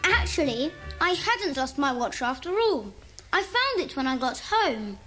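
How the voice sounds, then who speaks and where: A woman's voice: high voice, very animated, measured pace, sharp tone, faint music behind, full character voice. A woman playing a cheeky, clever child in a children's club. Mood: matter-of-fact.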